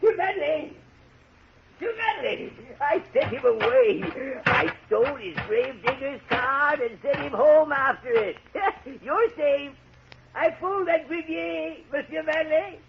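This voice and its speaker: Old peasant gravedigger, very high wavering voice, stammering, exclamatory, muffled noisy old radio recording. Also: male voice, unhurried, creaky, full character voice. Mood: frantic. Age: older. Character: excitable, wheedling old peasant gravedigger, loyal and easily frightened. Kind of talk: golden age radio drama acting.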